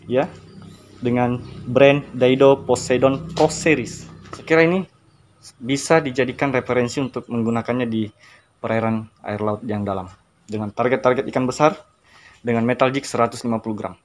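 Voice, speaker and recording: A man's voice: medium pitch, fairly even intonation, normal speed, a little hiss or hum. Chatty man filming himself outdoors. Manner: upbeat, chatty, addresses viewers directly. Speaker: fishing gear reviewer. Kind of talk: casual product review vlog. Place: outdoors by a school building. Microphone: handheld phone camera close up.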